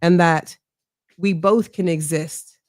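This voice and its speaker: A woman's voice: on the low side, fairly even intonation, a little mellow, dry recording, clean studio sound, faint music behind. A middle-aged woman with a strong voice, speaking earnestly and reflectively as an interview guest, often checking in with "right?". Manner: earnest, reflective, measured with frequent "right?" tags. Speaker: mayoral candidate guest. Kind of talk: candid interview talk.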